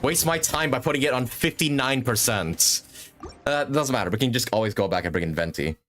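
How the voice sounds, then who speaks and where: A man doing casual, informal live gameplay commentary, thinking out loud as a streamer. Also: medium pitch, lively intonation, a little fast, slight background noise. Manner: informal, swearing, thinking aloud. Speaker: game livestreamer. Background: video game music and sound.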